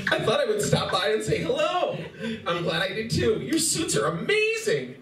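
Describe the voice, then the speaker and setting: A woman's voice: fairly high pitch, huge pitch swings, moderate pace, a crowd in the background. A woman playing a giggly, gushing, flirty convention vendor in a live radio play. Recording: roomy live hall, distant mic, audience noise.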